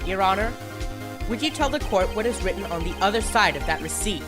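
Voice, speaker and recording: A man's voice: high-pitched, expressive, brisk, over music. A young man playing an earnest, easily flustered defense lawyer in an emphatic video game fandub. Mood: confident, pressing. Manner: emphatic, theatrical.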